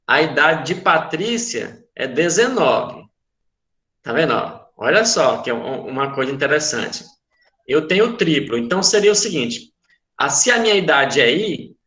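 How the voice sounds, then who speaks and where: A man's voice: a little high, pushed and bright, reverberant. A man with a Brazilian Portuguese accent, a math teacher explaining a word problem in an online class, patiently repeating and checking that students follow.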